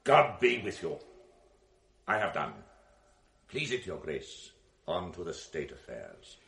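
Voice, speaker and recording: A man playing a stern older senator and offended father in a 1980s radio drama, speaking grave, measured verse. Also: deep voice, big pitch swings, vocal fry, fairly bright tone, reverberant, heavily acted.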